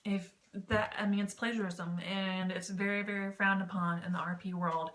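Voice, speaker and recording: A woman's voice: medium pitch, fairly even intonation, moderate pace, a bit bright. A woman vlogger giving informal advice in a rambling, slightly self-conscious way. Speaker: YouTube vlogger. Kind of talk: casual advice vlog on online text roleplay.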